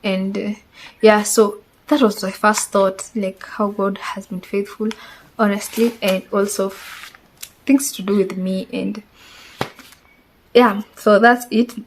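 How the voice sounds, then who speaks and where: A woman's voice: medium pitch, level tone, takes it slowly, a little hiss or hum, poor audio. A woman in her twenties with a Kenyan accent, a Swahili speaker, chatting casually through a tag-question vlog, rambling and hedging as she goes. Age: 20s. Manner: chatty and rambling, hedges with "I think". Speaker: vlogger.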